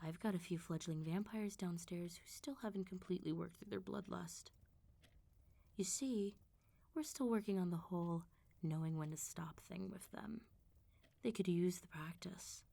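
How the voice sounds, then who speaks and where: Girl doing a vampire mafia boss lady for asmr, low n velvety soft dark voice, polite but threatening, kinda amused. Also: gravelly. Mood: cool threatening. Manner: polite, teasing, threatening, unhurried control. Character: suave, menacing female vampire mob boss, coolly amused. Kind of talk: ASMR roleplay acting.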